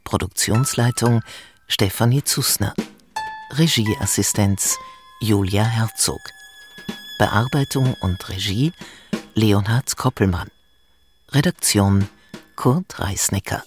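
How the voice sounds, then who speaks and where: A man's voice: fairly low pitch, slow, long pauses. A man reading radio play credits as a scripted announcement. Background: music.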